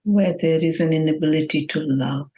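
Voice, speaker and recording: A woman leading a guided meditation in a gentle, measured manner with long pauses between phrases. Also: low-pitched, muffled recording.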